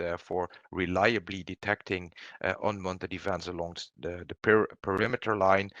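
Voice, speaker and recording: A man giving a webinar product presentation, explaining things in a halting way. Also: low-pitched, a bit bright, no room sound.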